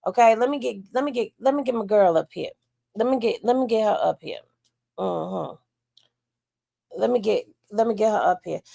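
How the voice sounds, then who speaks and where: Gravelly-voiced woman dishing gossip. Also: mid-range voice, moderate pace, pauses often, dark tone. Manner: drawling, folksy gossip talk. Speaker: livestream host. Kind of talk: casual gossip commentary.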